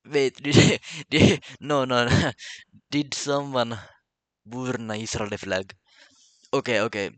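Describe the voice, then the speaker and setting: Halting Finnish-accented man, slow talker. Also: mid-range voice, fairly even intonation, fairly bright tone, no echo. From Finland. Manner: halting, stammers and repeats words. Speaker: vlogger. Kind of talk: casual solo vlog monologue.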